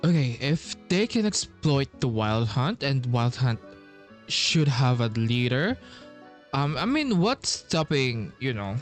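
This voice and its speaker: Game streamer: unhurried, casual commentary and read-aloud lines, expressive pitch, faint music behind. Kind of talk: casual playthrough commentary and reading dialogue aloud.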